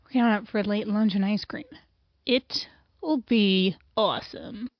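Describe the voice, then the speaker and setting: A woman's voice: mid-range voice, measured pace, dry recording, dull audio, lightly acted. A woman playing a coaxing teen friend. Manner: playful, coaxing. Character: teasing teenage friend Georgie.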